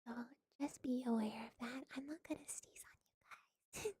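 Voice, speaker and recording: A woman chats to her stream in a soft, rambling ASMR whisper, pitched high and very breathy. Her throat is sore and her nose stuffed up. The sound is close and dry, with no room around it. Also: moderate pace, no echo.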